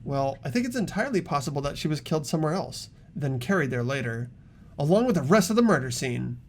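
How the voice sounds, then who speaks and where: A man's voice: a little high, sing-song, fast talker, slight background noise. A man playing an earnest teenage boy who reasons aloud tentatively in an amateur game dub. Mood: earnest, thoughtful.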